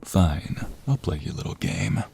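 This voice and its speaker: An actor playing a defiant, protective ex-mobster in an audio drama, dramatic and halting, full of determination. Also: male voice, mellow, a little hiss or hum, natural voice. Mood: wary. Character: defiant, protective male ex-mobster fighting for his love. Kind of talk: audio roleplay drama acting.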